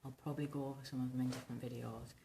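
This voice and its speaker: A woman speaks in an extremely deep, smoky voice, hoarse and rumbling from a sore throat. She chats informally and rambles as she gives a casual tutorial to camera. Clear background noise sits behind her in a room at home. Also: level tone, racing, echoey room. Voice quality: husky, rumbling, smoky voice from tonsillitis. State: recovering from tonsillitis, hoarse. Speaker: vintage hairstyling vlogger. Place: small domestic bedroom, indoors.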